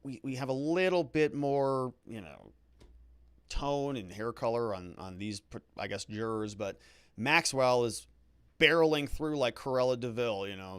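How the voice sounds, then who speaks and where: A man's voice: mid-range voice, lively intonation, a little slow, a bit bright, smooth, no echo. A man hosting a livestream of legal commentary, thinking aloud conversationally with hedges and repeated words for emphasis.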